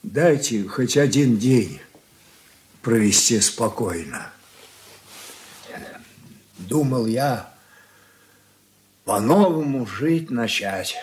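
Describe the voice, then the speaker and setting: An actor playing an old merchant father in a radio drama, reproachful and weary, speaking haltingly and sorrowfully. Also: medium pitch, animated. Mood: weary, pleading. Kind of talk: Soviet radio play acting.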